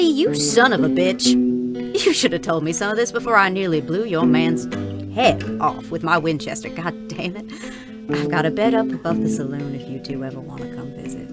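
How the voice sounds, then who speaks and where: A woman's voice: fairly low pitch, big pitch swings, moderate pace, over loud music. A woman playing a tough, brash Western saloon keeper in an audio drama, scolding fondly in a folksy way. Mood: fond outrage.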